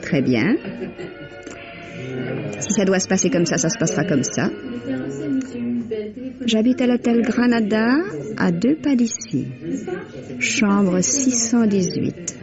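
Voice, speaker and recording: Impatient noir woman on the run, mid-pitched, dismissive and guarded, muffled vintage radio-play sound. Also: expressive, slow pace, long pauses, music in the background. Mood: curt, guarded. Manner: curt, dismissive, defiant. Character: evasive, impatient, hard-boiled woman on the run. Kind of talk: vintage French radio crime drama acting. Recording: dull lo-fi vintage radio recording.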